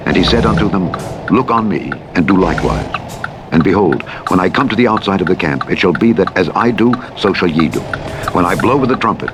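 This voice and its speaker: Deep-voiced man reading scripture over engine noise. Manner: measured, formal delivery. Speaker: Bible narrator. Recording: heavy vehicle engine noise. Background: rumbling truck driving sounds.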